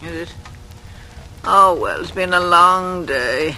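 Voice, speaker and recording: A woman's voice: on the low side, slow pace, sharp tone, heavily acted. An actress playing a fussy, doting old invalid woman, plaintive and coaxing, in a 1940s radio drama. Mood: weary, querulous. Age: older. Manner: plaintive, coaxing, self-pitying. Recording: noisy vintage radio recording.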